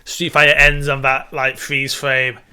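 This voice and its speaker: Bright, forceful man discussing films. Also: medium pitch, monotone, a little slow, clean tone. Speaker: podcast co-host. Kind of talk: casual film discussion.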